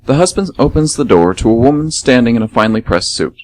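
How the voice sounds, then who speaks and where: Male host-narrator, mid-pitched, scripted announcing and storytelling for radio theater. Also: expressive, quick pace, darkish tone.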